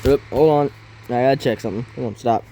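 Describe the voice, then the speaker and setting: A man giving casual role-play gameplay commentary in a halting way, thinking aloud as he hosts. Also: medium pitch, mellow, slight background noise, rough recording. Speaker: gaming video host.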